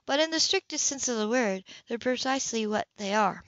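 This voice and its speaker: A woman's voice: fairly high pitch, expressive, fairly quick, a bit bright, no room sound, dull audio. A woman voicing a guarded, analytical female academic with a precise, lecturing manner in an audiobook romance.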